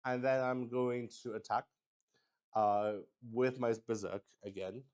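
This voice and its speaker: A man casually improvising play-by-play of a solo tabletop game as its host, haltingly thinking aloud and talking to himself. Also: medium pitch, steady tone, a little mellow, smooth.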